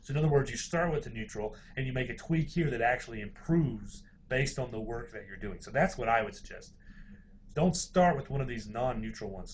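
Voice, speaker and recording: Man, university lecturer, medium pitch, informal explanatory delivery, hesitant and hedging, faint background noise.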